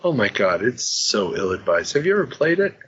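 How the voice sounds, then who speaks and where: A man hosting a podcast in casual chat, joking about himself with quick asides. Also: lowish voice, sing-song, fairly bright tone, husky. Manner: chatty, self-mocking, quick asides. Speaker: podcast host. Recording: slightly dull lo-fi podcast audio.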